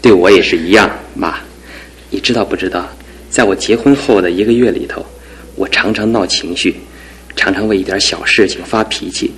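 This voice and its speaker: Earnest young husband, low husky voice, radio drama delivery. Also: male voice, normal speed, a bit bright, exaggerated voice. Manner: sincere, reflective, grateful. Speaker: son and husband.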